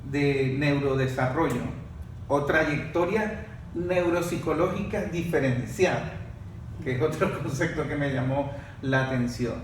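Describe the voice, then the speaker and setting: A man hosting a podcast interview, explaining ideas in measured, drawn-out phrases. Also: fairly high pitch, a little hiss or hum. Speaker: podcast host. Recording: roomy echo.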